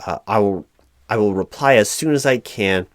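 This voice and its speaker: A man acts out a flustered corporate recruiting manager with a lowish voice. He is officious and monotonous, stammering and restarting as his frustration shows. The sound is dry and close, in the manner of a spoken audio roleplay. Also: moderate pace, no room sound, close to an everyday voice. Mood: apologetic, flustered. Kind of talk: one-sided ASMR roleplay, loud spoken.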